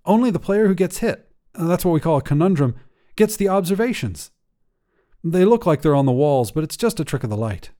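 A man's voice: fairly high pitch, animated, fairly quick, mellow, voice pushed up, lightly acted. A man doing a grinning, teasing partner. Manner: teasing, amused, lightly condescending. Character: playful know-it-all partner, grinning and teasing.

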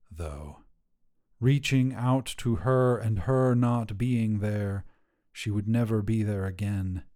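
Mellow male story narrator. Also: mid-range voice. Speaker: podcast narrator and producer.

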